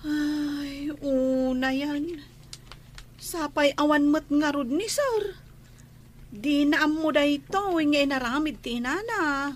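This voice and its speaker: Fussy big-sister maid in a radio drama, really high voice, plaintive, lots of exclaiming. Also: female voice, slow. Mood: worried, sympathetic. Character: protective, pleading housemaid, an older-sister figure to a younger maid. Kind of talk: radio comedy-drama acting in Ilocano.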